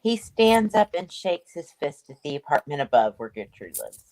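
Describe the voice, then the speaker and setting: Woman narrator, slightly low pitch, animated intonation, dry sound, reading stage directions. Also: moderate pace, no echo, poor audio. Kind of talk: scripted narration.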